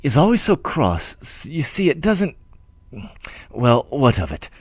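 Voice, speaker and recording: A humble, timid old clerk writes tenderly, rambling and breaking off mid-thought, as a male audiobook reader gives him voice. His delivery swings widely in pitch. The recording is dry and close. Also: wildly swooping pitch, a little slow, dry recording. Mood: tender fretful. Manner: rambling, self-interrupting, tender. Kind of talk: audiobook letter reading.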